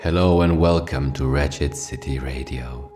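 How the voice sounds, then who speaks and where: A man playing a sardonic, jaded late-sixties radio host, delivering a scripted, poetic monologue in a deadpan manner. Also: very deep voice, moderate pace. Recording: music behind the voice. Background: music.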